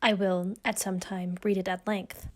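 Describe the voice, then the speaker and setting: A woman's voice: mid-range voice, flat delivery, a little slow, natural voice. A woman playing an earnest, pleading young woman in a period tragedy, with formal stage-play diction. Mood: concerned, pleading. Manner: formal, imploring, archaic diction. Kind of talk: stage-play audio drama acting.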